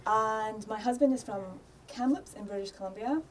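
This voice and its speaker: A woman's voice: fairly high pitch, normal speed, a little hiss or hum. A woman in her thirties with a Scottish accent, a podcast guest chatting easily in a studio, laughing often and dropping in "um" and "yeah". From Scotland. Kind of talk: casual interview chat. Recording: voice a little distant from mic.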